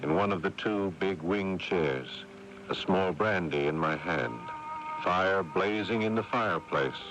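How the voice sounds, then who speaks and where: A man's voice: low voice, a little slow, over music. An actor playing a level-headed but exasperated brother who narrates his story in a 1980s radio drama. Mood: somber narration. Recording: muffled, noisy 1980s AM radio broadcast.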